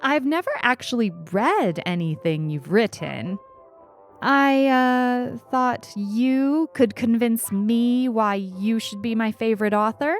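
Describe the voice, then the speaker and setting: A woman's voice: fairly high pitch, slow, faint music behind, pitched above the performer's own voice. A woman voicing a young schoolgirl in a story, eager, direct, impatient and a little sheepish. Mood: flustered. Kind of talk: storybook character reading.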